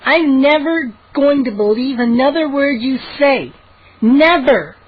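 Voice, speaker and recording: A man's voice: very high-pitched, lively intonation, a little slow, slightly rough, highs cut off, voice pushed up, exaggerated voice. A man voicing an indignant, scolding sixth-grade girl in a children's audiobook, snappy and exasperated. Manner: groaning, shrieking, snappy.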